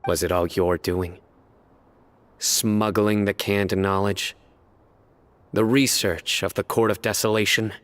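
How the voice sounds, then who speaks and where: An actor playing a stern, principled investigator in a video game, speaking in a terse, grave and resolute way. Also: male voice, on the low side, level tone, slow pace, pauses often. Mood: probing, stern. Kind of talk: dramatic video game voice acting. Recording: long reverberant hall echo.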